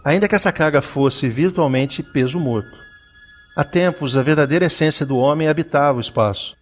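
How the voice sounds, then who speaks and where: A man's voice: a little high, a little fast. A man with a Brazilian accent narrating a scripted science fiction audio drama.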